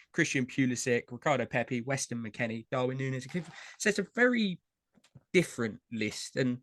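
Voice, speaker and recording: A man talks casually on a podcast, rattling through lists of names with plenty of fillers. His voice sits at a middle pitch. The recording is dry and close, with no room sound. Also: a bit bright, no echo. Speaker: podcast co-host. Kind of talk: casual chat about trading cards.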